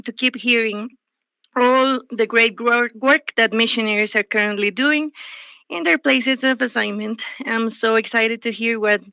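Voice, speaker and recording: A woman hosts a radio talk program in English as a native Spanish speaker. Her voice is high and clear, with a crisp, forward brightness. The sound is dry and close. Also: steady tone, unhurried, dry recording. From El Salvador.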